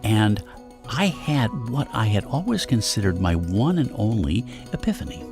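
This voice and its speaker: An actor playing a wistful older father in a comic audio drama, confessing in a halting, wry way. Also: lowish voice, very animated, darkish tone, faint music behind. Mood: wistful, romantic.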